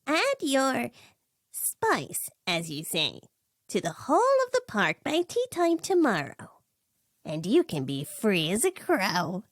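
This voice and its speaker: Slimy circus ringleader guy, done by a woman, chipmunk squeaky, drags words out slow, super swoopy and smug, storybook villain. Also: huge pitch swings, no room sound, clean studio sound, heavily acted. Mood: smug, menacing. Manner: slow, drawn-out, theatrical, smug. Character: tall sneering male circus ringleader, imperious, squeaky, sinister. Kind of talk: fan fiction audio story character voice.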